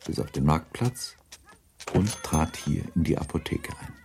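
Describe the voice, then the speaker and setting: An actor as the sober, restrained narrator of a period novel in a radio drama, measured, grave and understated. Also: male voice, very deep voice, lively intonation, a little mellow, a little hiss or hum, full character voice.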